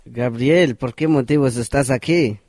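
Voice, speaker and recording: A man's voice: mid-range voice, unhurried, darkish tone. A young man with a Guatemalan accent acting a welcoming village friend in an amateur radio drama. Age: young adult.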